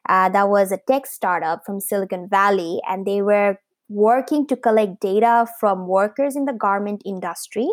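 A woman's voice: mid-range voice, steady tone, moderate pace, hardly any pauses, no room sound, clean studio sound. A woman in her thirties from Bangladesh, a startup founder, answering interview questions with many fillers and restarts. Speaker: guest, fashion startup founder.

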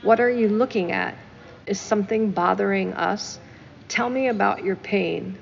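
A woman's voice: medium pitch, moderate pace, creaky, big-room sound, muffled recording. A woman co-hosting a casual talk show, discussing music emphatically and repeating phrases for stress. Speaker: podcast co-host. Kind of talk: casual discussion of song lyrics.

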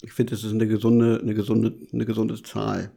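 A man's voice: fairly low pitch, monotone, moderate pace, gravelly, mellow. A man from northeastern Germany co-hosting a casual podcast, hesitant and repeating words as he starts sentences. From Mecklenburg-Vorpommern, Germany. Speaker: podcast co-host. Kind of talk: casual chat.

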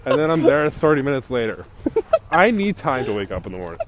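A man's voice: medium pitch, fast talker, a little hiss or hum. A young man co-hosting a podcast, telling stories in casual chat, acting out quotes and laughing often. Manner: animated storytelling, laughing, acts out quotes. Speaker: podcast co-host.

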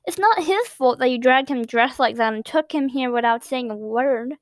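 Lady reading as a soft sweet little boy, innocent and reassuring, kinda high voice. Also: normal speed, no echo, natural voice. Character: cheerful gentle young boy, innocent.

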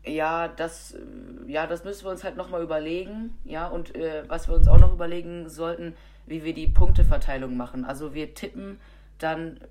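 A woman's voice: low voice, fairly even intonation, moderate pace, dark tone, slight background noise, low-quality recording. A woman with a cold, co-hosting a podcast and chatting casually about football with many fillers and restarts. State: has a cold. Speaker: podcast co-host.

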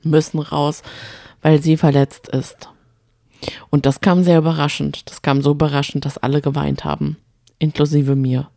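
A woman's voice: low-pitched, level tone, normal speed, gravelly, warm tone, no echo, clean studio sound. A woman co-hosting a casual podcast, bantering in a chatty, teasing way. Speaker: podcast co-host. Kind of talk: casual banter recapping reality TV.

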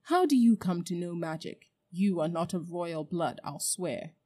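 Jadis, a towering witch queen, as a woman reading a storybook puts her on, pours cold scorn on everyone before her. Her commands come out loud and forceful, with a dark, mellow weight behind every word. She never raises the pitch, only the pressure. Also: expressive, measured pace. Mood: scornful. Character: imperious, cruel giant witch queen.

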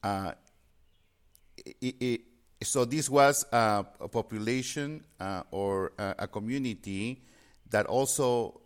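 A man from Peru, a native Spanish speaker, answering interview questions about his work in a halting way with many fillers and restarts. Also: medium pitch. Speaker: interpreting business guest.

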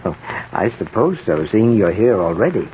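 A brooding composer in an old radio play, gentle on the surface but condescending. His theatrical delivery swings widely in pitch. The sound is muffled, with some background noise. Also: big pitch swings, moderate pace. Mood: fussy, irritated. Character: brooding composer brother who craves solitude, outwardly gentle. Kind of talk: old-time radio drama acting.

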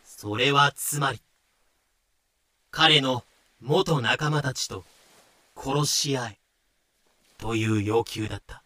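A man's voice: mid-range voice, slow pace, long pauses, sharp tone, dry recording. A man delivering scripted dramatic narration as the storyteller.

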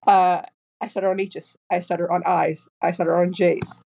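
A woman's voice: fairly low pitch, normal speed, gravelly, muffled recording. A woman in her twenties who stutters, with repeated sounds and restarts, chatting casually as a guest author and acting out the people in her stories. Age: 20s. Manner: animated storytelling, acting out quoted people. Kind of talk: casual interview chat.

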